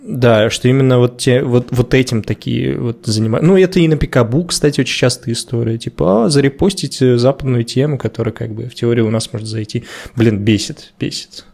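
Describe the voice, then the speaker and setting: A man's voice: medium pitch, brisk, vocal fry, hardly any pauses, a little mellow. Rambling man in his late twenties. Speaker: podcast co-host. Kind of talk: casual chat among co-hosts.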